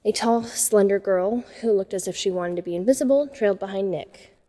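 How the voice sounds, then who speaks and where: An introspective teenage outcast girl, played by a woman, tells her story in first person. Her voice is soft-edged and mellow at a middle pitch, trailing into long reverb. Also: brisk, close to an everyday voice. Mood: sympathetic. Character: introspective, self-conscious teenage girl, a science geek outcast. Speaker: narrator and lead character. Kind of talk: first-person dramatic narration.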